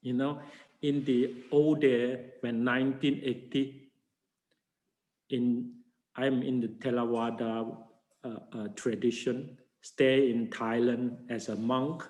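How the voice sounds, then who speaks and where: An older man, a Buddhist monk teaching a class in halting full of fillers and restarts. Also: mid-range voice, little pitch movement, darkish tone, echoey room, rough recording. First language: Chinese. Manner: halting non-native English with many fillers and restarts. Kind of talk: informal dharma class talk.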